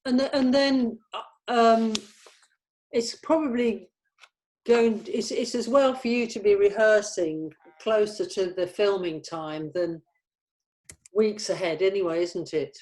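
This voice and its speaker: A woman's voice: medium pitch, takes it slowly, a little mellow, poor audio. A woman chatting informally in a meeting as she helps organise a group. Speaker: production organiser at a table read. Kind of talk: casual meeting discussion.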